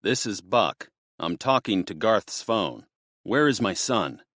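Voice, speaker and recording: A man's voice: fairly low pitch, very animated, slow, a bit bright, dry recording, studio quality, full character voice. A man voicing a furious ex-Marine father holding back his rage, clipped and tense. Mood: restrained.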